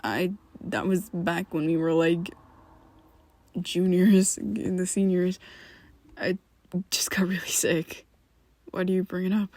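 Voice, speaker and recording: A woman's voice: lowish voice, steady tone, slow, long pauses, darkish tone, no echo. A woman voicing a young male vampire best friend in an ASMR roleplay, who talks hesitantly and half-whispers with nervous stammers. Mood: evasive.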